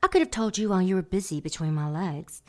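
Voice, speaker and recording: A sly seductive dark angel, lowish voice, flirtatious, mocking, audio drama. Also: female voice, very animated, quick pace. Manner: teasing, sultry, mocking.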